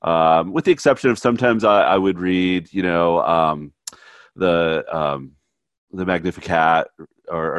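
A man hosting a conversational faith and psychology podcast, a little stuffy from a cold, relaxed, with frequent fillers and easy laughs. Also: low-pitched, no room sound. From United States. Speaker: podcast co-host, therapist.

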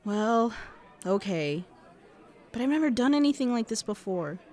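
A woman's voice: fairly high pitch, lively intonation, a little slow, dark tone, a faint crowd. A woman playing an awkward, guarded seventeen-year-old girl with a hesitant, self-conscious manner in a fiction podcast. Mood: uneasy. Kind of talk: audio fiction podcast acting.

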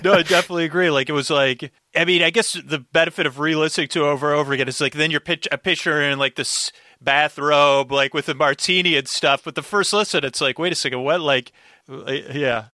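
A man's voice: on the high side, fairly even intonation, fast, bright, forceful tone, no echo, clean studio sound. A man guesting on a recap podcast, chatting casually and enthusiastically in rambling, half-finished thoughts. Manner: rambling, enthusiastic, quotes his own reactions.

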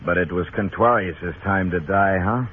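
An actor playing a world-weary, sardonic U.S. marshal in a noir radio Western. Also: male voice, deep voice, normal speed, slight background noise, close to an everyday voice. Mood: dry, suspicious. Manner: terse, sardonic. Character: hard-boiled, world-weary Western United States marshal. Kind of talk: noir radio drama narration and dialogue. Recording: tinny old radio recording.

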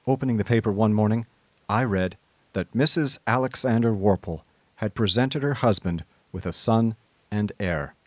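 A man playing an affable, idle upper-class gentleman, narrating a radio drama in breezy old-fashioned slang. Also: fairly low pitch, dry recording.

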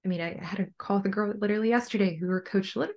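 A young adult woman with a low voice, talking casually and earnestly as a coach being interviewed on a podcast. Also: racing, a little mellow. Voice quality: low-pitched voice. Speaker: bodybuilding coach and podcast guest.

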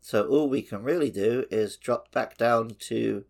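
A man's voice: mid-range voice, unhurried, gravelly, a little mellow. A man co-hosting a podcast, talking casually through a video game. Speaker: podcast co-host.

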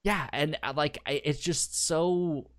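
A man's voice: a little high, slow pace. A man co-hosting a casual book podcast, often stammering and repeating words as he thinks aloud. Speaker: podcast co-host. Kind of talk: casual book-club chat.

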